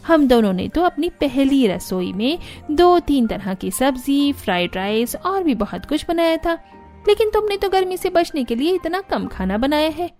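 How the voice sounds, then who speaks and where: A woman's voice: sits high, very animated, normal speed, a little mellow, faint music behind. A woman voicing jealous, scheming young daughters-in-law in a cartoon, with a taunting, indignant manner. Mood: mocking.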